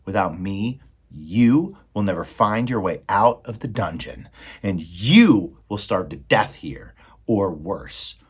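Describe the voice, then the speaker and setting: A man's voice: sits low, expressive, slow pace, husky, full character voice. A man voicing a cruel, scheming male rat villain who speaks in a sneering, haughty, coldly mocking way. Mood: menacing.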